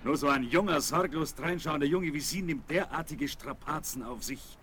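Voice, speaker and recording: A man's voice: fairly high pitch, moderate pace, fairly bright tone. An actor playing a genial, direct businessman in a German children's radio play. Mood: hearty, admiring. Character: genial, direct businessman greeting a young hero. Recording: faintly noisy vinyl record transfer.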